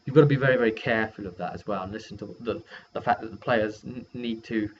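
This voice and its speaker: A man giving a casual, rambling recap as a game master and video host, halting and often correcting himself mid-sentence. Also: lowish voice. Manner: halting, self-correcting delivery. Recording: dull lo-fi sound with faint noise.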